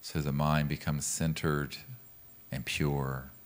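A man leading a guided meditation as a teacher, speaking in a calm, gentle, measured way with pauses. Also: a bass voice. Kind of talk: guided meditation with mantra instruction.

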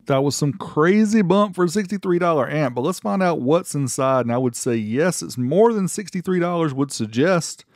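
A man's voice: a little high, animated, moderate pace, hardly any pauses. A man narrating a casual product review in a conversational, folksy way. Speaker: host of an amplifier review channel.

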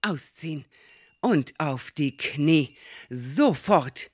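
A man's voice: a little high, expressive, extremely slow, noisy background. A man playing a domineering, haughty old female fortune-teller in a German comedy audio drama, lecturing imperiously. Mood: stern, commanding.

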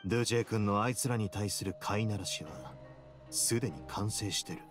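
An actor playing a composed, shrewd duke in a game, speaking in a cool, measured, authoritative way. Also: male voice, on the low side, over music, lightly acted. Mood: calm, analytical. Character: composed, shrewd male duke in command of a fortress. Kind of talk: Japanese game voice acting.

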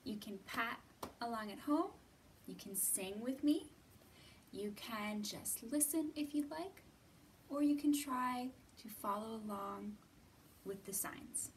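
Slow, higher-pitched American woman teaching children. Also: animated. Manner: simple, encouraging, instructional phrasing for young children. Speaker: children's librarian and storytime host. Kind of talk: children's storytime lesson. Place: library room, indoors. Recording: distant mic with background hiss.

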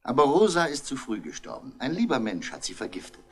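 Stern police inspector, old radio crime play, mid voice, bossy and clipped. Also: male voice, mid-range voice, wildly swooping pitch, fairly quick. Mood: accusing. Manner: clipped commands, accusing, authoritative. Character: stern, commanding male police inspector interrogating suspects. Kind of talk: 1960s German radio crime drama acting.

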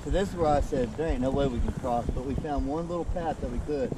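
A man's voice: mid-range voice, fast. A man guiding his friend along a creek, talking casually and eagerly as he points out fishing spots. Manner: upbeat, eager, showing the way. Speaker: fishing buddy, guide. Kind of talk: casual outdoor chat. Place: outdoors by a rocky creek. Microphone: picked up by another person's wearable camera. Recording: loud wind and water noise.

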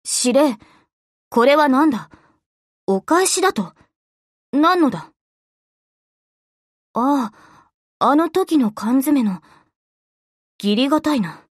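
A stern, tomboyish anime game warrior girl. Her voice is female, composed and lower than the squeaky norm, in a dry recording. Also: lively intonation, takes it slowly, long pauses, no echo, full character voice. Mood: stern, appreciative. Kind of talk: Japanese anime game voice acting.

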